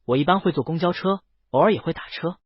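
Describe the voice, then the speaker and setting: A man reading as a shy, earnest young cook, husky. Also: a little high, quick pace, no echo. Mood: earnest, embarrassed. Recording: dull, lo-fi, close dry sound.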